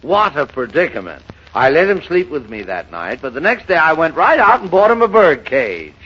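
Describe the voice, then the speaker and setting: A man's voice: on the high side, very animated, moderate pace, bright, forceful tone, slightly rough, exaggerated voice. An actor playing a fussy, boastful man who spins absurd tall tales in 1930s radio comedy, fluttering and sputtering through a noisy, muffled recording. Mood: wistful, boastful. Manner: fluttery, sputtering, self-important storytelling.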